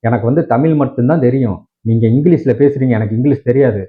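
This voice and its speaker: A man's voice: medium pitch, fast, narrow, tinny audio. A man with a Tamil Nadu accent complaining to viewers in an indignant, argumentative way with many rhetorical questions. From Tamil Nadu, India. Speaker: tech video creator.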